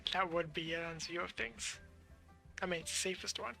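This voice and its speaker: A woman's voice: fairly low pitch, normal speed, creaky, pushed and bright. A woman streaming a game, giving casual off-the-cuff commentary and hesitantly thinking aloud. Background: game music.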